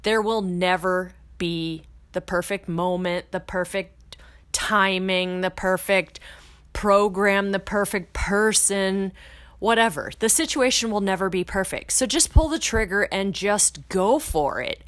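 A woman's voice: mid-range voice, measured pace, fairly bright tone, no room sound. A woman hosting a podcast, talking in a casual, blunt, motivational way that swears freely and rises into emphatic bursts. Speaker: podcast host.

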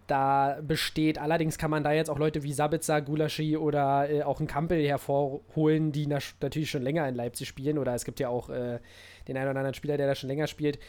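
A man's voice: fairly high pitch, a little mellow. A man talking football casually, hesitating and restarting his sentences often.

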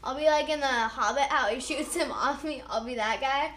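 A woman playing a tabletop game with friends, talking in an excitable, chattering way. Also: high voice, moderate pace, roomy sound, slight background noise. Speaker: player. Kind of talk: casual chat at a game table.

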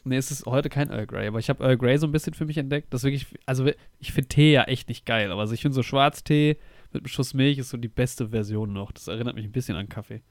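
A man's voice: medium pitch, fast, no echo. Casual podcast co-host, young man, slightly under the weather with a cold, slangy and talkative, close dry sound. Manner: chatty, slangy.

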